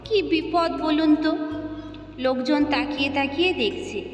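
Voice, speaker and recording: A chatty, sentimental housewife in her middle years , played by a woman storyteller, speaks. Her voice is high and confiding, proud of her husband. It echoes with long reverb over music, as in an audio story. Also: unhurried. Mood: bashful, fond.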